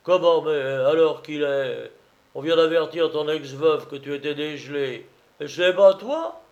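A man voicing a blustering old Napoleonic drum-major in a storybook reading, booming and bombastic in colloquial soldier's talk. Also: expressive, slow, a little mellow, lo-fi sound. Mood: furious.

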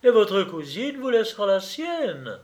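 A man's voice: sits high, very animated, unhurried, darkish tone, voice pushed up. A man voicing a peevish, scolding spinster in a storybook reading, exclaiming and complaining indignantly. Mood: cutting.

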